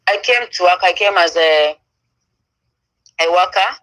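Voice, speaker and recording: A woman's voice: on the low side, measured pace, fairly bright tone, low-quality recording. A woman from Kenya joining a panel by phone, speaking in plain, short, direct sentences. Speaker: panel guest, migrant domestic worker. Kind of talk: answering questions on a panel. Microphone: phone on a messaging-app call.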